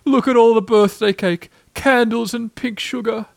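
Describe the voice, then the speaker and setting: A man voicing a gloomy, self-pitying old grey donkey from a children's storybook, drawling slowly and sarcastically. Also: very high-pitched, steady tone, gravelly, dry recording, voice pushed up. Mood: mock cheerful. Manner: gloomy, slow, drawn-out, sarcastic.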